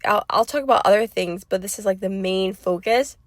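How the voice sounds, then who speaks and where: A woman's voice: medium pitch, fairly quick, no echo. A woman with a stuffy, sick throat hosting a solo podcast, talking off the cuff with lots of fillers and restarts. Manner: chatty, rambling, many fillers and restarts. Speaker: podcast host. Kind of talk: unscripted solo podcast monologue.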